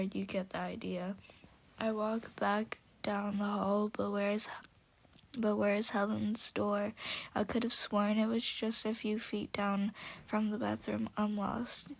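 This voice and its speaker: A woman reading a fan-fiction story aloud with a hoarse voice, stumbling over words now and then. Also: mid-range voice, flat delivery. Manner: slow, stumbling, chatty. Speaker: audiobook reader.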